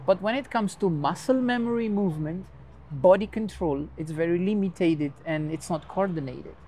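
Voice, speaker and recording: A man's voice: high voice, normal speed, mellow, slight background noise. Man explaining dance in second-language English. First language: Portuguese creole. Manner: thoughtful, explains with everyday comparisons. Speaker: dance teacher. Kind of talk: interview answers.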